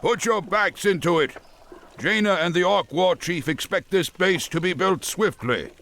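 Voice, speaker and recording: A man's voice: high voice, unhurried, fairly bright tone. An actor playing a brusque human soldier bossing his men around in a video game. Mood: bossy. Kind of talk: video game cutscene acting.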